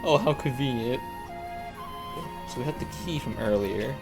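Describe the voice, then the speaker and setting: A man's voice: medium pitch, normal speed, vocal fry, over music. A man giving casual, slangy gameplay commentary on a live stream, thinking aloud as he plays. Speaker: live streamer playing a video game.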